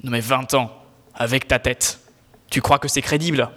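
A man's voice: mid-range voice, steady tone, moderate pace, a bit bright, natural voice. A young man in his teens playing an exasperated, sardonic squire in a student audio drama, grumbling in a slangy way. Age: teenager.

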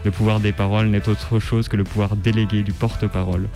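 A man reading a written text aloud on the radio in a measured, articulate, declamatory way. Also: fairly low pitch, little pitch movement, over music. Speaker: radio reader. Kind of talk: scripted literary reading aloud.

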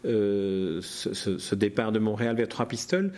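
A man's voice: fairly low pitch, expressive, moderate pace, a little mellow. A man interviewing a guest, asking formal questions and often restarting as he searches for words.

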